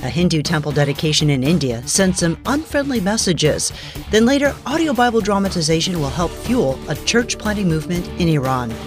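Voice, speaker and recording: A woman presenting scripted radio news in a clearly enunciated, announcer-like delivery. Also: on the low side, expressive, brisk, soft background music.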